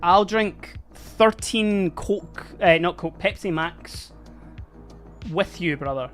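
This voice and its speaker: Slow-talking giggly hobby streamer. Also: medium pitch, a bit bright, soft background music. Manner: chatty, giggly, rambling, addresses chat. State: slightly hoarse from laughing. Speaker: live-streaming miniature painter. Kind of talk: casual livestream chat while painting.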